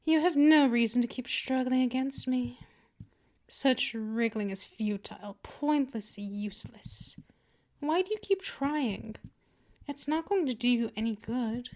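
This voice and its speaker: A woman acts out a seductive vampire mistress in an intimate ASMR audio roleplay. Her voice sits on the high side and she draws her words out very slowly. She is patient and possessive, talking down to the listener in a teasing, coaxing way. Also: darkish tone, close to an everyday voice. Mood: commanding, possessive. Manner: slow, coaxing, condescending, drifting into whispers.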